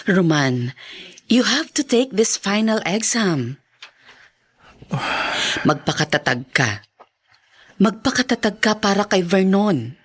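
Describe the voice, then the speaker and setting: An actress playing a caring teacher in a podcast drama, earnest and encouraging. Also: female voice, medium pitch, very animated, slow pace, long pauses, slightly rough, heavily acted. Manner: earnest, mixes English into Tagalog. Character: encouraging, caring woman teacher urging her student on. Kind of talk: podcast radio drama acting.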